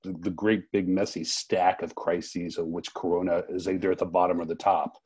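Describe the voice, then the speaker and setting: Man, low mellow voice, conversational interviewer, halting wordy delivery, wry. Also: little pitch movement, husky. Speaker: interviewer, festival co-director.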